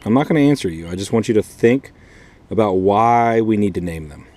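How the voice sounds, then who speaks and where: A man's voice: on the low side, expressive, a little fast, darkish tone. An American man teaching an informal math lesson as a schoolteacher, repeating key points and asking checking questions. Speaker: fourth grade math teacher.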